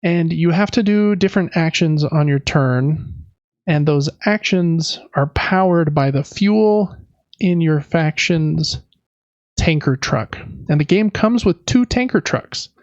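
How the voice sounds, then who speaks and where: A man's voice: on the high side, slow, a little mellow, no room sound, dull audio. A man co-hosting a casual podcast, describing board games in an informal, hesitant, self-deprecating way. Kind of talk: casual show-and-tell chat about board games.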